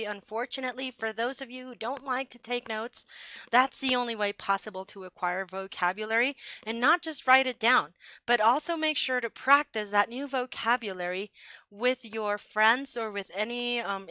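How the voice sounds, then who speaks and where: A young adult woman with an American accent teaches English in a clear, upbeat way, stressing example phrases so learners can copy them. Her voice sits a little high and sounds bright and forward. The recording is dry and close, with no room sound. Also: dry recording, low-quality recording. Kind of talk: online English lesson.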